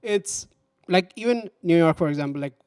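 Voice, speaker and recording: A young man hosts a relaxed interview, rambling through his questions and leaning on "like" at every turn. His voice sits a bit high for a man. The recording is close and dry. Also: normal speed, smooth, no echo. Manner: loose and rambling, heavy use of "like". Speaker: podcast host. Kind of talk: casual interview conversation.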